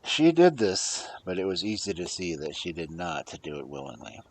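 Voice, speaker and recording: Male storyteller, lowish husky voice, lively intonation, dramatic, fairy-tale read-aloud. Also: normal speed, poor audio. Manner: dramatic, swinging between hushed and emphatic.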